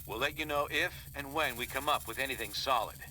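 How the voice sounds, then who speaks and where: A resolute superhero leader in a video game. He briefs his team firmly and earnestly in a medium-pitched voice, with clear ambient noise behind him. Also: a little fast, bright, forceful tone.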